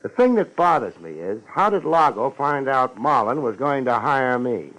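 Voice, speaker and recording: Male, hard-boiled radio gumshoe: dry, wisecracking, unruffled, lively pitch, vintage fifties recording. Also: moderate pace, rough recording. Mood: wry, probing. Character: wry, hard-boiled male private detective, coolly unruffled. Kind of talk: 1950s radio detective acting.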